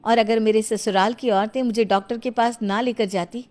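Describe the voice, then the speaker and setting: A woman's voice: a little high, fairly even intonation, moderate pace, a little mellow, lightly acted. A woman voicing an outspoken, indignant young mother and daughter-in-law in a village cartoon story. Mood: challenging. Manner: indignant, firm, challenging.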